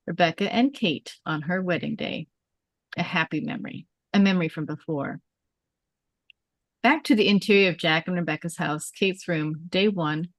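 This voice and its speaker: A woman's voice: lowish voice, no echo. A woman narrating a script at a table read, reading out scene headings and stage directions.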